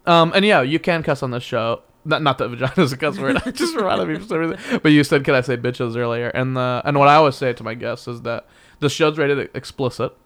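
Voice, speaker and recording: A man's voice: mid-range voice, lively intonation, quick pace, a bit bright. A young man from Kansas with a slight Midwestern twang, hosting a podcast in a rambling, joking way full of restarts. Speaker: podcast host. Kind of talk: casual chat.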